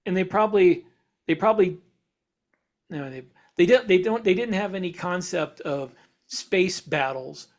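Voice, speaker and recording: A man's voice: sits high, lively intonation, measured pace. A man co-hosting a podcast, explaining speculative ideas in a casual way and piecing his thoughts together as he goes. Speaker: podcast co-host.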